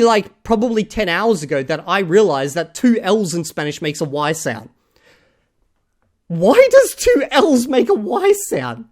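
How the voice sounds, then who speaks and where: A man hosting a reaction video, talking casually and skeptically, with emphatic outbursts and low asides. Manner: skeptical, emphatic, swings between loud outbursts and low mutters.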